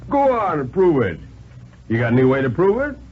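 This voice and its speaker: A man's voice: fairly high pitch, wildly swooping pitch, husky, pitched above the performer's own voice, full character voice. A man voicing a blunt, folksy small-town police chief with colloquial, repeating speech on a 1950s radio reading. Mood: challenging. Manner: colloquial, stammering repeats, drawn-out reactions. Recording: muffled 1950s radio recording with hiss.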